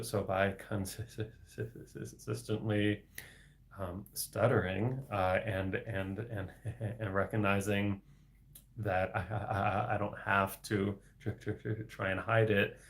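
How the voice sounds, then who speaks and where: A young man with a low, gravelly voice talks slowly on a casual solo livestream. He stutters heavily, repeating sounds and getting stuck on words, and laughs softly between phrases. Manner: heavy stutter with repetitions and blocks, frequent soft laughs. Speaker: livestream host reading viewer questions.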